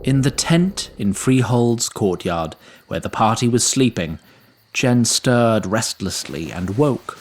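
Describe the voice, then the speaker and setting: A man reading scripted fantasy narration in a measured, literary, dryly wry manner. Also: mid-range voice, sing-song. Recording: faint ambient noise.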